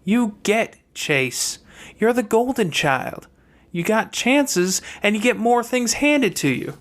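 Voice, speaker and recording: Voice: mid-range voice, animated, takes it slowly, pitched above the performer's own voice. A reader voicing a calculating young girl from a wealthy family in an audiobook, airy and pointed with a haughty disdain. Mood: resentful, grudging.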